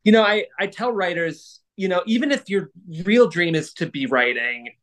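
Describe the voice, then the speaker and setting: Higher-pitched man, encouraging and conversational. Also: animated, moderate pace, a bit bright. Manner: encouraging, affirming. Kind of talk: conversational panel talk.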